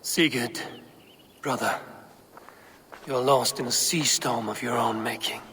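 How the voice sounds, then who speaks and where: A man's voice: mid-range voice, slow, background noise, natural voice. A man playing a stubborn, loyal Viking warrior in a video game, with an earnest, declaiming delivery. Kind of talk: video game cutscene acting.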